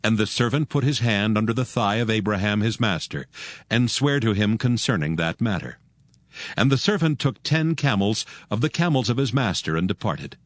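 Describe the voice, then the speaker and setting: A man narrating scripture from a script in a measured, formal manner. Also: lowish voice, animated, no room sound.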